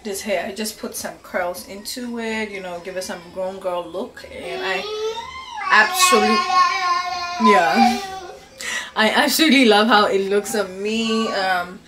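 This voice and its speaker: A woman's voice: mid-range voice, measured pace, roomy sound. A woman in her twenties chatting upbeat to her viewers in a casual vlog, filmed on a camera at arm's length at home. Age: 20s. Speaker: vlogger. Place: bedroom and home office, indoors. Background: air conditioner hum.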